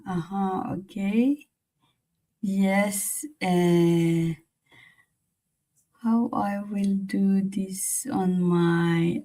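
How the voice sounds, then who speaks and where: A woman from the Philippines with a Filipino accent hosts a casual, reflective livestream, talking haltingly and repeating her phrases. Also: lowish voice, mellow, rough recording. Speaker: livestream host.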